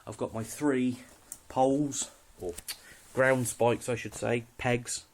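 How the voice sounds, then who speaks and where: A man's voice: mid-range voice, fairly even intonation, takes it slowly, a little hiss or hum. A British man giving a casual, unscripted walkthrough of his kit in a matter-of-fact, slightly hesitant way. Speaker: hobbyist presenter showing radio kit.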